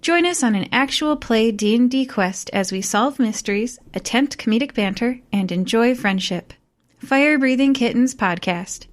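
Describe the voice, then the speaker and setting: A woman's voice: a little high, expressive, moderate pace. A woman reading a scripted podcast advertisement as its narrator.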